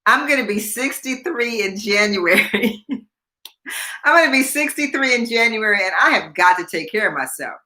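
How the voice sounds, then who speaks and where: A woman's voice: a little high, expressive, moderate pace, bright, forceful tone. A woman in her sixties hosting a casual live stream, chatting, repeating herself and reading viewer comments aloud. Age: 60s. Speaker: livestream host.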